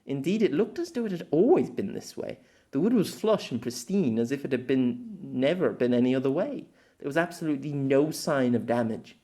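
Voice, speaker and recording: An amateur audiobook reader giving an expressive narration of a scripted story. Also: mid-range voice, fairly quick.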